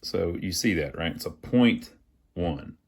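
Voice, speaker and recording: Low-voiced man talking through a repair. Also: expressive, a little slow, gravelly, a little mellow, husky. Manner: folksy, thinking aloud as he works. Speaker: host of an amateur radio repair channel. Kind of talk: casual hands-on repair tutorial narration.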